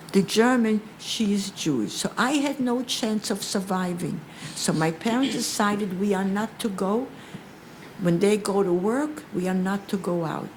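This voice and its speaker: Elderly Polish-born woman giving halting testimony. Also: medium pitch, a little mellow, a little hiss or hum, low-quality recording. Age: older. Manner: halting, repeats words, slightly broken English. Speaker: Holocaust survivor speaker. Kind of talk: personal testimony to an audience.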